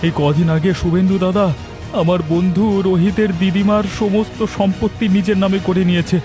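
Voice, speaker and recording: A man's voice: sits high, steady tone, brisk, music in the background, natural voice. A man voicing an eager young village helper in a cartoon, earnest and coaxing. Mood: earnest, concerned. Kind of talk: cartoon voice acting.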